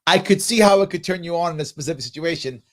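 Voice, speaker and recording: A man's voice: on the high side, fast talker, a bit bright. A middle-aged man from the South Bronx, hosting a live ask-me-anything stream, reading viewer comments aloud and answering offhand with fillers. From New York City, South Bronx. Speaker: livestream host answering viewer questions.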